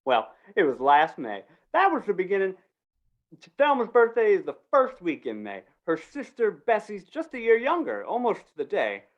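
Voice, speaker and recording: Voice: mid-range voice, huge pitch swings, normal speed, dull audio. An actor playing a gossipy small-town undertaker and justice in an old-time western radio drama, with drawling rural talk. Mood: relishing the tale.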